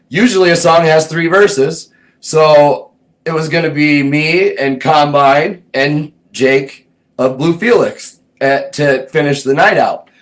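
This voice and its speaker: A man's voice: a little high, rough recording. Laid-back man telling a story. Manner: laid-back, meandering, thinks aloud. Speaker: host recounting his music career. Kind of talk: casual personal storytelling.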